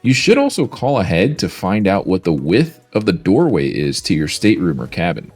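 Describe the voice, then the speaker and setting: Husky man with lively sales pitch. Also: normal speed, soft background music. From Florida, USA. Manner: upbeat, salesy, lively intonation. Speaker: mobility scooter company presenter. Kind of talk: scripted product video presentation.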